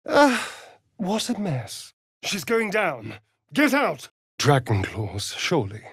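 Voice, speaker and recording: Flirty sardonic vampire rogue for a fantasy game, slow drawl, pitch swoops around a lot, really dry close sound. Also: male voice, huge pitch swings, a bit bright, slightly rough, dry recording, exaggerated voice. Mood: alarmed, then grim, musing. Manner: arch, theatrical, drawling delivery with sly asides. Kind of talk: video game character voice acting.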